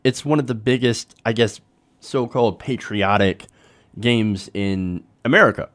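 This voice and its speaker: A man's voice: on the low side, measured pace, a bit bright. A man hosting a political commentary show, talking informally to viewers in a conversational way with rhetorical tag questions.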